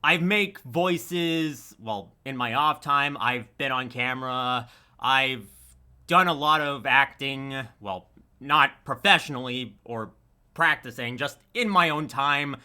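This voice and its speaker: A man's voice: mid-range voice, takes it slowly, bright, forceful tone, clear, resonant tone. A man chatting casually about his creative work, rambling and often restarting his sentences. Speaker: audio drama voice actor and editor. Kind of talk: casual chat.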